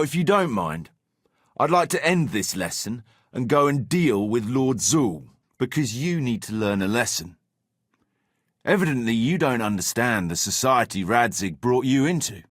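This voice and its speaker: An actor playing a wary, self-serving medieval mercenary captain in a video game, talking in a sardonic, pragmatic, patronizing way. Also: male voice, fairly low pitch, breathy edge, no room sound, studio quality, exaggerated voice. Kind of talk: video game character dialogue.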